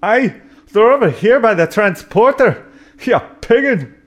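A man playing a gruff brogue-talking starship engineer. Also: high-pitched, lively intonation, unhurried. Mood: indignant. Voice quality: burring folksy brogue, says aye and wee. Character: gruff male ship's engineer with a thick brogue. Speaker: ship's engineer. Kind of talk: comedy audio drama.

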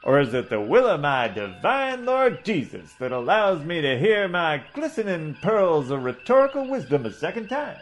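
A man's voice: on the high side, very animated, moderate pace, hardly any pauses, a little hiss or hum, pitched above the performer's own voice. A man voicing a pompous old male ship's doctor in a comedy audio drama, talking in a florid, preachy way.

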